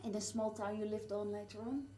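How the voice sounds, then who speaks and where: A woman with a Dutch accent interviewing a guest, starting her questions haltingly and repeating words. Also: mid-range voice, fairly even intonation, mellow, slight background noise. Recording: roomy, distant mic.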